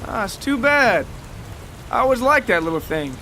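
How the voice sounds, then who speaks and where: A man voicing a kindly, easygoing stepfather with a gentle, folksy way of talking in an audio story. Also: very high voice, sing-song, normal speed, slight background noise, pitched above the performer's own voice, exaggerated voice. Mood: concerned, kindly. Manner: gentle, folksy, hesitant asides.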